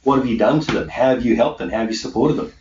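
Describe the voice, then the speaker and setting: A man's voice: mid-range voice, lively intonation, racing, a little mellow. A middle-aged man answering interview questions as a motivational coach, emphatic and self-assured, repeating key phrases for effect. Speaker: peak performance hypnosis coach being interviewed. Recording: echoey room, distant mic, dull sound, faint noise.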